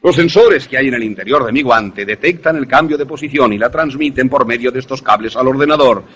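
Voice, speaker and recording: A man acting an enthusiastic professor in a radio drama, lecturing in a deliberate, didactic, theatrical way. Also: mid-range voice, a bit bright, slight background noise, natural voice. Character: enthusiastic male professor demonstrating an invention to students.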